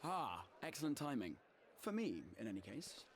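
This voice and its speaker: A man's voice: medium pitch, very animated, normal speed. A man voicing a courteous, orderly fantasy official in dry, formal video game dialogue. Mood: businesslike concern.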